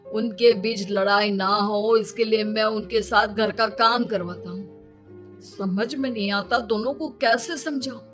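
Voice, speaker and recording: A woman's voice: medium pitch, unhurried, fairly bright tone, soft background music, close to an everyday voice. A woman voicing a harried, exasperated young husband in a children's cartoon. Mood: troubled. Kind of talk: Hindi children's cartoon dubbing.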